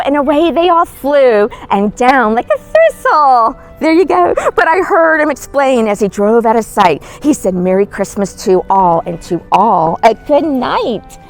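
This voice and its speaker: A woman's voice: high-pitched, full character voice, poor audio. Lady playing kindly santa's wife reading storybook to kids, super swoopy voice, lots of oohs, music in back. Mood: playful. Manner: exclamatory, wondering, gasps and oohs. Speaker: children's storyteller. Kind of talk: animated storybook reading to children.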